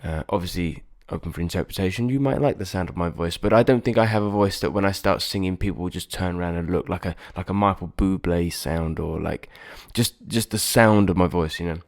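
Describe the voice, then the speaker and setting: Low, nasal self-doubting singer vlogging. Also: male voice, brisk, few pauses. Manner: rambling, self-deprecating, frequent restarts. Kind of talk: reflective casual vlog talk.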